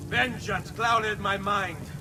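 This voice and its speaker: An actor playing a resolute middle-aged Italian assassin in a video game, earnest and measured, with an Italian accent. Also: male voice, high voice, steady tone, music in the background. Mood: solemn, earnest. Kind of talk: dramatic video game cutscene acting.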